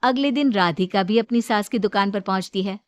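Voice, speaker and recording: A woman's voice: mid-range voice, a little fast, dry recording. A woman narrator reading a scripted Hindi moral story.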